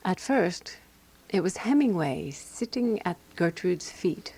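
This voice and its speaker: Radio drama narrator: prim, watchful woman companion, lowish voice, dry and deliberate, quietly jealous. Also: lively intonation, slightly rough, full character voice. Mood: dry, wry.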